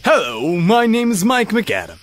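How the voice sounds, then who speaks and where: An actor playing a boastful but cowardly private detective in radio adventure style, full of cocky, jittery bluster. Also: male voice, sits high, huge pitch swings, a little slow, fairly bright tone, slight background noise, full character voice.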